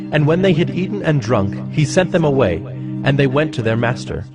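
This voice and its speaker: A man reads scripture as a narrator, solemn and measured. His voice sits at a middle pitch, with a clear musical bed behind it. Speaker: Bible narrator.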